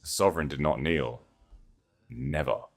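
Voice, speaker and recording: A man reading scripted dramatic fiction as narrator, in a measured, solemn and theatrical manner. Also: very deep voice, vocal fry, faint music behind.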